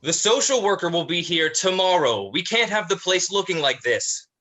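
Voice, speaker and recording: A man playing a stressed, parental eldest brother, exasperated and halting, in a play reading. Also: sits high, expressive, sharp tone, exaggerated voice. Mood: weary exasperation. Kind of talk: dramatized play script reading.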